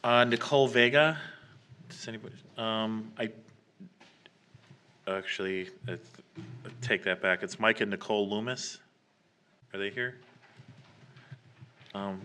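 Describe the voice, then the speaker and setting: Guy running a town meeting, lowish sharp voice, kinda informal and halting. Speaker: council meeting chair. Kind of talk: running a public meeting, reading emails aloud. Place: council chamber, public meeting.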